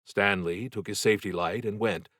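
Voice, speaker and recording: A man narrating a novel in a dramatic, deliberate and suspenseful way, with expressive scripted delivery. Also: on the low side, no room sound, clean studio sound. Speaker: audiobook narrator.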